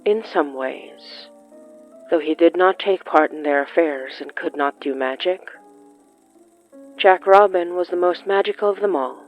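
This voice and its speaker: A narrator reading scripted horror fiction in a deliberate, literary way with dramatic pauses. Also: medium pitch, dull audio, soft background music.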